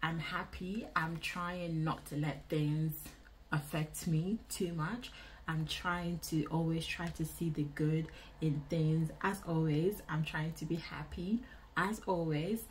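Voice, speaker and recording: A woman in her twenties with a Nigerian accent, chatting casually to camera in an indoor vlog, expressive and repeating phrases for emphasis. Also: low-pitched, slow, slight background noise, rough recording. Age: 20s. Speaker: vlogger. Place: small indoor room.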